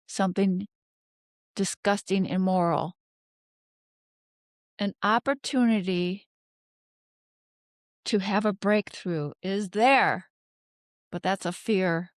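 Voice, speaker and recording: A woman giving an unscripted tarot reading, talking haltingly as she ponders aloud and poses rhetorical questions. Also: mid-range voice, fairly even intonation, no room sound, studio quality. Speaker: tarot card reader, host.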